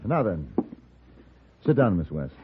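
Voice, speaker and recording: Cool, deep-voiced gentleman gunfighter. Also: sing-song, a little slow. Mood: firm. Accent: American. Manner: cool, precise, wry, formal diction. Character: urbane, unflappable gentleman gunfighter turned stern tutor. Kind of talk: old-time radio western acting. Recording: tinny, noisy old radio broadcast.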